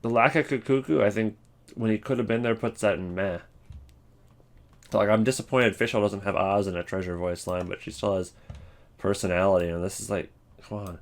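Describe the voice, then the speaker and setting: Low-pitched man thinking aloud. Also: fairly even intonation, moderate pace. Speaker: video creator ranking game voice lines. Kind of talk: casual commentary.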